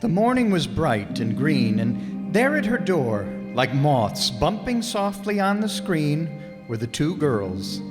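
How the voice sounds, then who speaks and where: A man's voice: on the high side, big pitch swings, takes it slowly. A man narrating a radio play in a literary, dramatic storytelling style. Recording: hall reverb, clear music underneath.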